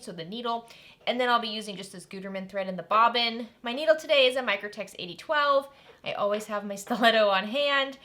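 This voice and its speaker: A woman's voice: fairly high pitch, pushed and bright. A woman hosting a sewing tutorial, chatty and upbeat as she explains each step while she works. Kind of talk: casual step-by-step craft tutorial.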